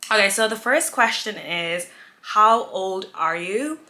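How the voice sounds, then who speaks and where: A woman's voice: mid-range voice, expressive, slow pace, bright, forceful tone. A woman in her twenties from Nigeria, chatting casually and giggling as she answers viewer questions in a sit-down vlog. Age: 20s. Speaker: influencer, vlogger.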